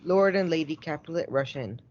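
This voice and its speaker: A young man narrates a stage play from a script. His voice sits a little high, and the recording is somewhat dull. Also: expressive, brisk, a little mellow.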